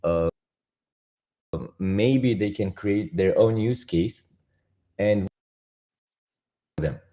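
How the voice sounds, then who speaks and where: Romanian-accented man, mellow low voice. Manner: halting, restarts often, explains step by step. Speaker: guest, crypto project founder. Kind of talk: casual interview talk.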